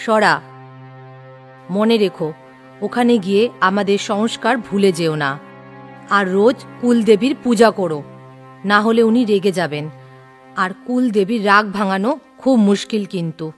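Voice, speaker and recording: A woman's voice: medium pitch, measured pace, pauses often, soft background music, lightly acted. A woman playing a stubborn, traditional elderly village grandmother in an audio story, firm and admonishing.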